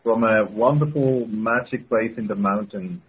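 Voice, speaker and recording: A man with a Swiss accent speaks over a narrow phone line, his voice very husky. He talks haltingly, restarting phrases and laughing often. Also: on the low side. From Switzerland. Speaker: guest. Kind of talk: casual interview. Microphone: telephone. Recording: phone-line call-in audio.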